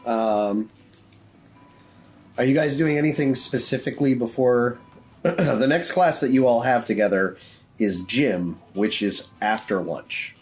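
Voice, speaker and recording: A man's voice: medium pitch, unhurried, soft background music. A man narrating and refereeing a tabletop game as game master.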